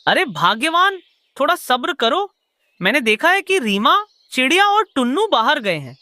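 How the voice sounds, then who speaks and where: A woman voices a lazy, wicked crow husband in a cartoon. The voice is bright and fairly high, with dramatic, lively swings in pitch. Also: normal speed, dry recording, heavily acted. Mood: scheming, impatient.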